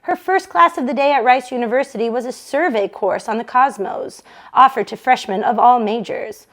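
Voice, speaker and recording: A woman hosting a book club read-along, reading a novel aloud to an online audience with expressive delivery. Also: on the high side, normal speed.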